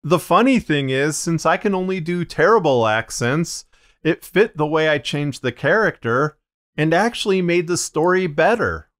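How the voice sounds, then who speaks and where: Man with a slightly muddied voice, explaining deliberately. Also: on the high side, lively intonation, a bit bright. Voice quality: slightly muddied, limited range. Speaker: fiction podcast narrator and video host. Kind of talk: measured explanatory video narration.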